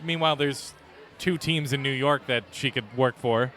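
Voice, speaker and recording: A young man with a middle-pitched, everyday voice, talking in a relaxed, meandering way. He chats over a beer outside at a brewery. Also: normal speed. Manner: relaxed, rambling, with many fillers and restarts. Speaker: podcast host and editor. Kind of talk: casual chat over beers. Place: outdoors at a brewery patio.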